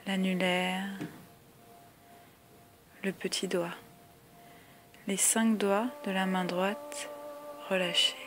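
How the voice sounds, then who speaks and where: A woman guiding a yoga relaxation meditation with calm, soothing, measured instructions and long pauses. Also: mid-range voice. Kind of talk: guided meditation, slow spoken body-scan instructions. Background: music.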